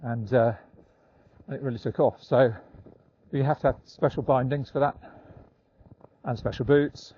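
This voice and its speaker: An older man chatting casually and unhurriedly, with halting pauses, as he records a walking vlog outdoors. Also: mid-range voice, steady tone, highs cut off, slight background noise. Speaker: vlogger. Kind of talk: casual rambling vlog talk while walking. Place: outdoors, walking in snowy woods.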